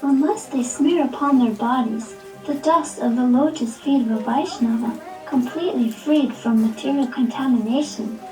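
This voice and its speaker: A woman's voice: fairly high pitch, lightly acted. A woman playing a pious, serene young prince in a devotional puppet show, reciting solemnly and reverently. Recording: echoey, distant, music underneath. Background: music.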